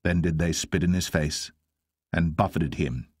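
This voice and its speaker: A man narrating scripture as an audiobook in a solemn, measured and deliberate manner. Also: low voice, fairly even intonation, darkish tone, breathy edge, dry recording, studio quality. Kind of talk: scripted Bible reading.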